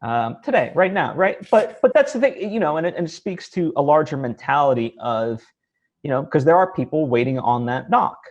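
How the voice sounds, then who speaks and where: A man hosting a casual interview, talking haltingly as he thinks aloud, peppering his speech with filler phrases. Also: fairly high pitch, animated, highs cut off. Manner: halting, thinking aloud, heavy use of "like" and "you know". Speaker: interview host, independent filmmaker. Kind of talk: casual chat.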